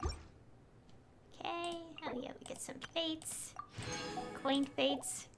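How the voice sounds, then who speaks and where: A woman chatting casually on a live game stream, excitable and rambling to her viewers. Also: high-pitched, drawn-out words, music in the background.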